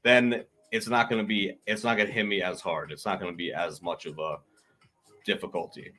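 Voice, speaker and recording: A man's voice: sits low, steady tone, brisk, sharp tone, low-quality recording. A man co-hosting a casual podcast chat, opening with hype-man calls and emphatic repeats. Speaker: podcast co-host.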